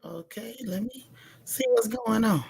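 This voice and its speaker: A woman hosting a casual live recap chat, with a loud, gravelly, hoarse voice, greeting viewers by name with folksy slang. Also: on the high side, measured pace, low-quality recording. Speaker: livestream host.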